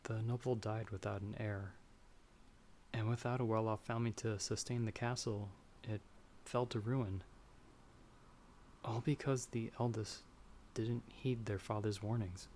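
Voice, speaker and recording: A man playing a timid young traveler in an ASMR audio roleplay, speaking softly and hesitantly. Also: fairly low pitch, fairly even intonation, a little slow, close to an everyday voice. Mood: solemn storytelling. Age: young adult. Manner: soft, hesitant, stammering when afraid. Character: timid young male traveler, storyteller trapped by a vampire. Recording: background storm noise.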